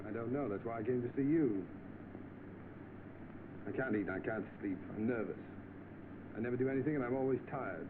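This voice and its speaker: An actor playing a bored, spoiled, idle young millionaire, complaining in 1930s film style. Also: male voice, mid-range voice, a little fast, long pauses, phone-quality sound, lightly acted. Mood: peevish. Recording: thin, noisy vintage film soundtrack.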